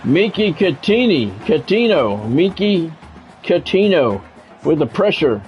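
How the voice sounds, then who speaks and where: Male game streamer, slightly high-set voice, football play-by-play with casual grumbling asides, faint music underneath. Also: very animated, slow pace, muffled recording. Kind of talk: sports play-by-play commentary mixed with casual stream chat.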